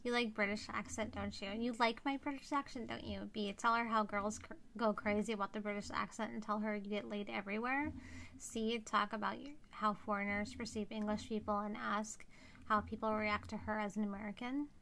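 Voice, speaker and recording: A woman's voice: mid-range voice, steady tone, a little fast, vocal fry, a bit bright, a little hiss or hum. A young woman commenting casually on a live game stream and reading choices aloud. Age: young adult. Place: indoors, seated at a streaming setup.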